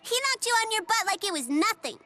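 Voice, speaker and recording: A woman's voice: extremely high pitch, very animated, brisk, very noisy. A woman playing a blunt, precocious little girl in a video game, nosy and demanding. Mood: pert, curious.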